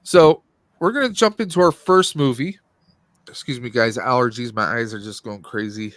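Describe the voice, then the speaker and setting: A man in his forties hosts a casual livestream with a mid-pitched voice. His delivery is informal and sprinkled with jokey asides. The sound is dry, with very little room in it. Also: moderate pace, a bit bright, no room sound. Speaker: podcast host. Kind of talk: casual livestream chat about movies and games.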